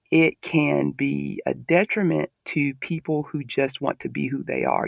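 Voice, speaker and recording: Gravelly Southern American man, earnest. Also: medium pitch, no echo. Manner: earnest, emphatic, measured. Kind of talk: conversational podcast discussion.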